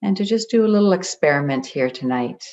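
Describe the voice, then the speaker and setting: A meditation guide leading a group in a gentle, deliberate way, leaving long pauses between phrases. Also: mid-range voice, lively intonation. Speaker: meditation guide, spiritual coach. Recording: dull, muffled lo-fi audio.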